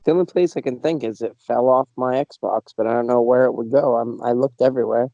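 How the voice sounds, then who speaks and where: A man's voice: medium pitch, fairly even intonation, fairly quick, gravelly, warm tone, dry recording. A man in a casual podcast chat, speaking hesitantly and trailing off. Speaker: podcast co-host. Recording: dull, hard-to-hear mic.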